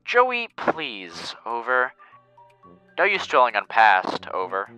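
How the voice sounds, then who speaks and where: A man's voice: mid-range voice, huge pitch swings, slow pace, bright, forceful tone, smooth, soft background music, exaggerated voice, rough recording. An actor playing an earnest, paranoid boy acting as military mission control, speaking in clipped radio talk in a fan dub. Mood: puzzled, exasperated.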